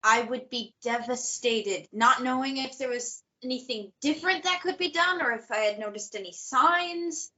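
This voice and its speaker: This is a woman voicing a protective young woman, earnest and insistent. Her voice is bright and pitched a little high. The recording is muffled. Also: moderate pace, few pauses.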